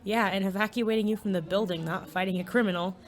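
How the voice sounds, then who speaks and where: A woman plays a worried high school student in an audio drama. The voice sits at a middling pitch and sounds casual. The manner is plain, dry and a bit hesitant, like a cautious best friend. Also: steady tone, fast talker, close to an everyday voice. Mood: concerned, dry.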